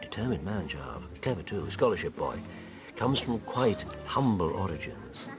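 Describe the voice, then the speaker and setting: An actor playing a jovial, teasing doctor and old friend of the hero in a radio drama, talking in clipped, clubbable phrases. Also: male voice, sits low, very animated, moderate pace, over music. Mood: informative.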